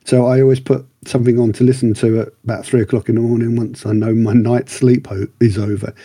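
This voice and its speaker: Male podcast reviewer, husky and mellow, chatty, rambling delivery with keen interest. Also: mid-range voice, little pitch movement, fast talker, dry recording. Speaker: podcast co-host reviewing books and radio dramas. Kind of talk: casual review chat.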